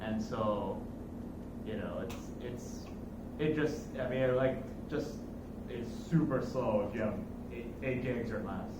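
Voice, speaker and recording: A man's voice: mid-range voice, measured pace. A man speaking casually about technical matters like an instructor, repeating and rephrasing himself as he goes. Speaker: course instructor. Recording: noisy echoey room, far from mic.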